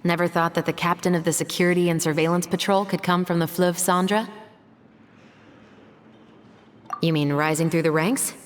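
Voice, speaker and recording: A woman playing a composed, serious investigator and patrol captain in a video game, speaking in a measured, articulate way. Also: fairly low pitch, fairly even intonation, lightly acted. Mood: confident, reflective.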